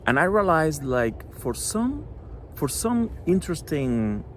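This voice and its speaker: A middle-aged man from Cuba, a Spanish native speaker with a Cuban accent, talks earnestly to a school audience about his children's book, pausing often with fillers. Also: a little high, very animated, a little hiss or hum. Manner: earnest, halting, many fillers. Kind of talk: recorded address to a school audience.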